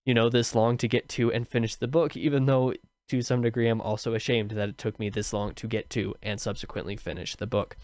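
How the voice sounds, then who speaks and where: A man's voice: mid-range voice, fairly even intonation, fairly quick, a little mellow, no echo. Tired-voiced man reviewing a book. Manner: rambling, many fillers and restarts. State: tired, slightly scratchy voice. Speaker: book review channel host. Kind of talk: casual spoken book review.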